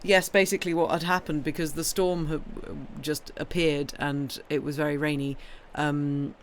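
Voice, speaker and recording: A woman's voice: sits low, level tone, normal speed, a little hiss or hum. A woman with a British accent hosting a livestream in casual, playful chat, often breaking off her own sentences and rambling on. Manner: rambling, playful, self-interrupting. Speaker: livestream host and reader.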